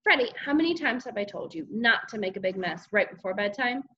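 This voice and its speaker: A woman's voice: fairly high pitch, expressive, brisk, highs cut off. A woman voicing a stern, exasperated mother who questions and scolds her young son in a children's audiobook. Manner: sharp questioning, sarcastic scolding.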